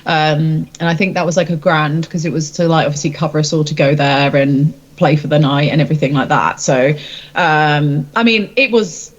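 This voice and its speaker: A woman's voice: sits low, steady tone, moderate pace, dull audio. A woman, a singer-songwriter, chatting casually and informally in an interview in a rambling way.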